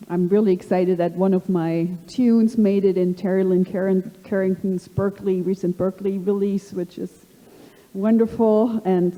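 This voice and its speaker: A middle-aged woman with a slight German accent hosting a live panel, halting and full of fillers. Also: mid-range voice, mellow, lo-fi sound. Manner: halting, many fillers and restarts. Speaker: panel host. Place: conference hall. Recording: long hall reverb.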